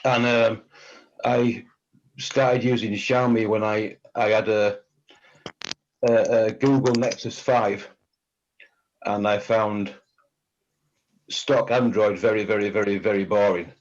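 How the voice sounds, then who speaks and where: A man chatting on a video call in a halting way, often repeating words as he tells his story. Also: lowish voice, fairly even intonation, muffled recording. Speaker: community member. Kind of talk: casual video call chat.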